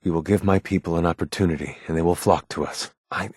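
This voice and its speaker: An actor voicing a guarded, earnest male android rebel leader in a video game, with formal, measured, grave speech and a husky voice. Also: deep voice, fairly even intonation, a little mellow, no echo, clean studio sound. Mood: grave, determined. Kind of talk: scripted game character dialogue.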